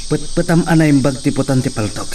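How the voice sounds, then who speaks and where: An actor playing a young gentleman who calmly teaches a woman to shoot a gun. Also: a little high, a little fast, distant mic, slight background noise, close to an everyday voice. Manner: calm, instructive.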